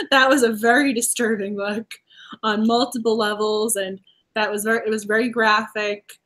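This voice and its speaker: A woman from the Boston area hosting a casual book chat over a video call, talking quickly through thoughts with frequent laughs and restarts. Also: a little high, fairly even intonation, a bit bright. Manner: chatty, laughs often, restarts while avoiding spoilers. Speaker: host.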